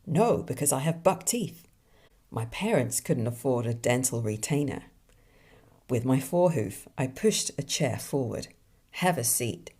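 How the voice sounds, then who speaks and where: A woman voicing a wisecracking male deer detective in a film noir drawl, delivering deadpan puns. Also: extremely low pitch, a little slow, breathy edge, exaggerated voice. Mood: hardboiled deadpan.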